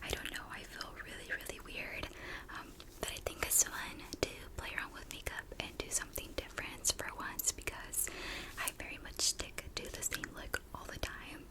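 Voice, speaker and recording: A woman's voice: normal speed, low-quality recording. A woman hosting an ASMR video, telling stories in a chatty, confiding and informal way. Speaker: ASMR host telling true crime while doing makeup.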